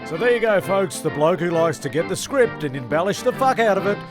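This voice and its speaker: A man's voice: high-pitched, expressive, a little fast. A man hosting and narrating a comic sketch show in a rambling, stammering, sweary way. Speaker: narrator and host. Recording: homemade audio with faint music behind.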